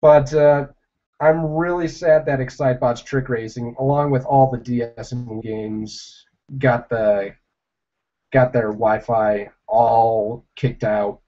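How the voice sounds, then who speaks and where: A young man drifts slowly through a casual livestream chat, stopping and restarting his thoughts as he answers viewers. His voice sits at an ordinary pitch for a man, and the sound is dull and lo-fi. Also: fairly even intonation, dark tone. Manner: rambling, halting, with many fillers and restarts.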